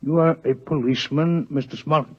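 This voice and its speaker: An actor playing a courteous, scholarly alien doctor in a 1950s radio drama, speaking formally, precisely and gravely in a husky voice. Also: male voice, a little high, fairly even intonation, a little fast, lightly acted. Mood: curious. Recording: muffled vintage 1950s radio recording.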